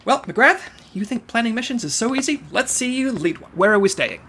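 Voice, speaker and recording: Man doing a fussy uptight agent, high lively voice, prissy and scolding, faint background noise, comedy audio drama. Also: brisk, exaggerated voice. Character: fussy uptight male agent, rigid planner.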